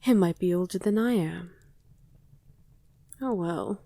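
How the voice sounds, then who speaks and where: A woman playing a tender, doting, teasing vampire wife in an intimate ASMR roleplay, speaking softly and breathily, often in a whisper. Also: fairly low pitch, takes it slowly, gravelly, no echo, full character voice. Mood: playful, seductive.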